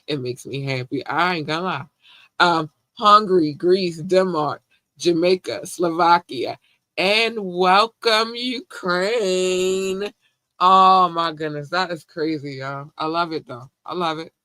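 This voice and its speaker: A man with a Baltimore accent hosting a podcast, chatting casually and warmly shouting out his listeners in slangy talk. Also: a little high, slow pace, dry recording. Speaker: podcast host. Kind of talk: casual live-stream chat.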